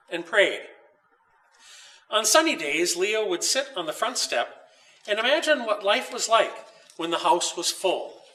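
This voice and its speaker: A man reading a children's story aloud as its author, in a measured, deliberate way with pauses. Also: sits high, pushed and bright, recorded from a distance, low-quality recording. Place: old dairy barn.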